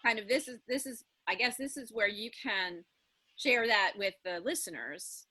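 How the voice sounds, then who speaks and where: A woman actor casually talking through ideas, telling things in an animated way. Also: a little high, normal speed, slightly rough, no echo. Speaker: improv audio drama actor. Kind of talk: casual creative discussion.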